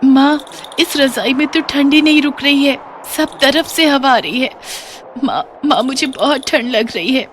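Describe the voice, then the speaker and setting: Woman voicing a high-pitched plaintive little girl. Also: a little slow, a little hiss or hum. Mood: cold, complaining. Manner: plaintive, childlike. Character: innocent, worried young daughter of a poor family.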